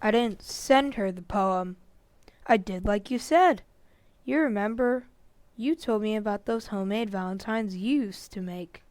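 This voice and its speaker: A woman's voice: medium pitch, unhurried, darkish tone, no room sound, natural voice. A woman acting an earnest, glum schoolboy son in an old-time radio comedy. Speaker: amateur actor.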